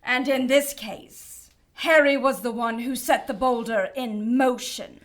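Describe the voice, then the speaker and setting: A woman's voice: fairly high pitch, fairly even intonation, a little slow, lightly acted. A woman playing a haughty, domineering cherub inquisitor in an audio drama, with an imperious, mocking manner. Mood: accusing.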